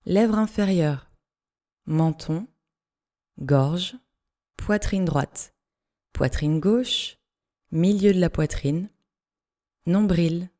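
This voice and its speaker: A woman leads a guided yoga relaxation at a very slow pace. Her voice is soft-edged and mellow, sitting a little low. She gives short, measured instructions and leaves space between phrases.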